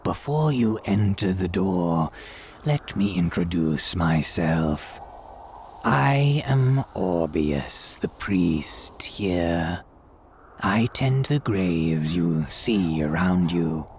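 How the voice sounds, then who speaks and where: A man's voice: deep voice, lively intonation, very slow, darkish tone, a little hiss or hum, heavily acted. A man playing a sinister old priest who hosts gothic horror tales, speaking in formal, archaic phrases with an ominous, theatrical delivery that dips into whispers. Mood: ominous welcome. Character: sinister old graveyard priest, courtly, eerie host. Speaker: horror tale host. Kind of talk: theatrical gothic radio drama monologue.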